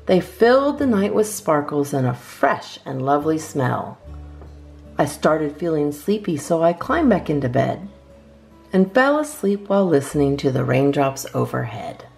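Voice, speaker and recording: A woman reading children's poems aloud as a storytime host, with expressive, measured phrasing. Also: low voice, vocal fry.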